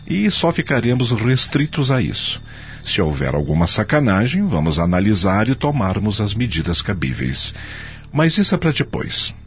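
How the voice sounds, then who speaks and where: An actor playing a self-assured, commanding company director in a radio soap opera, speaking formally as if giving a speech. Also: male voice, lowish voice, very animated, normal speed, a little hiss or hum. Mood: businesslike.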